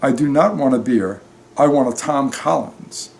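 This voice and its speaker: A man's voice: medium pitch, normal speed, vocal fry, darkish tone, natural voice. Man reading as a firm young woman. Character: assertive young engaged woman, the story's narrator.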